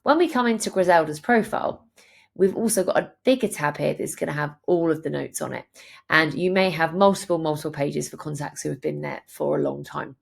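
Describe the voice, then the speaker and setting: A woman presenting a software tutorial, explaining each step in a clear, instructional way. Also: low-pitched, fairly quick. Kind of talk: screen-share tutorial narration, instructional.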